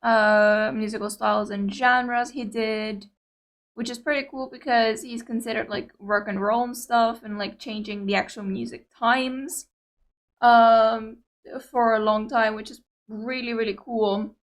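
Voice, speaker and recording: Chatty Greek Cypriot woman, fairly high. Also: steady tone, a little slow. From Cyprus. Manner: chatty, rambling, hesitant with many fillers. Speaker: reaction video host. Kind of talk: casual chat to viewers.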